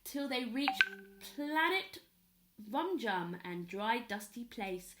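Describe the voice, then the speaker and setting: A woman reading a children's story aloud in an expressive storytelling style. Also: a little high, heavy background noise. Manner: pauses to ask young listeners questions. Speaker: storyteller at a primary school.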